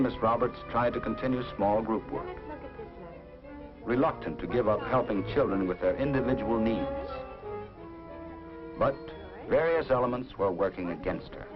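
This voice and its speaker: An American man reading scripted 1950s educational film narration in dramatic, measured short phrases. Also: lowish voice. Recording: dull vintage film soundtrack.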